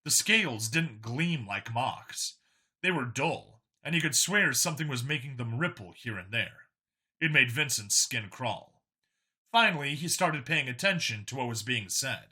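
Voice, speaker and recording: A man's voice: medium pitch, animated, unhurried, sharp tone, husky. A man narrating a scripted science fiction story as an audiobook reader.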